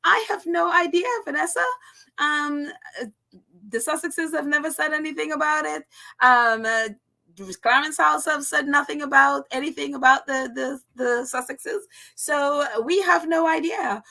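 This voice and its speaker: A woman's voice: high-pitched, a little slow, gravelly, bright, forceful tone. A woman with a Caribbean accent hosting a casual livestream, reading chat comments aloud with frequent laughs and fillers. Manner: chatty, laughs often, many fillers. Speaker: channel host reading live chat.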